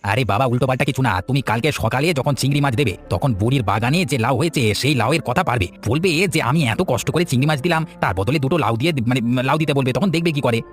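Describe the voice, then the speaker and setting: A scheming village man from a cartoon, voiced by a man. He talks very fast in a chatty, insistent way at a middling pitch, with music behind. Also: natural voice. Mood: scornful, insistent. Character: scheming, grudging village man, scornful of neighbour. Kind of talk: Bengali village cartoon voice acting.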